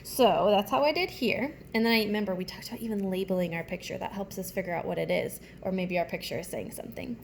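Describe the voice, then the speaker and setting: A woman's voice: medium pitch, fast, gravelly, few pauses. A woman elementary teacher reading aloud and explaining things simply to young children. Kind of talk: children's read-aloud and writing lesson. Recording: faint background noise.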